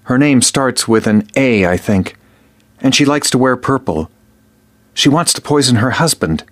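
Man doing a teen boy, soft and calm, watchful, pitched up, storybook. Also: measured pace, natural voice. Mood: matter-of-fact. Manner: soft, quiet and steady. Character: watchful thirteen-year-old boy, calm and calculating.

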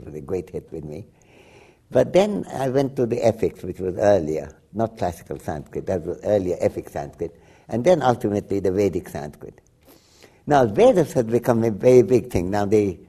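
An older man, a native Bengali speaker from Bangladesh, talking reflectively as an interview guest, often restarting and laughing softly. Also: low voice, breathy edge. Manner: rambling with frequent restarts and soft laughs. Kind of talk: reflective conversation.

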